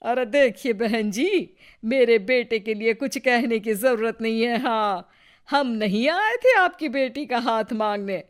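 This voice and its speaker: A woman's voice: on the high side, expressive, measured pace. A woman playing a proud, suspicious middle-aged mother-in-law in a cartoon, scolding with indignant emphasis. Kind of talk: animated Hindi family drama acting.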